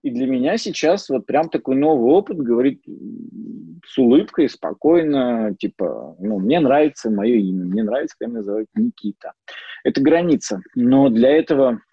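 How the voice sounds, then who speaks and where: A middle-aged man giving an informal lecture as a psychologist, mixing in personal stories and pausing often on fillers and restarts. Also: medium pitch, big pitch swings, vocal fry, breathy edge, no room sound. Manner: hesitant, many fillers and restarts. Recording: dull lo-fi dry sound.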